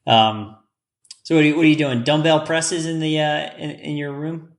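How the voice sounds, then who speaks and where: A man hosting a podcast, asking casual interview questions with upbeat, quick affirmations. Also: a little high, fairly even intonation. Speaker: podcast host.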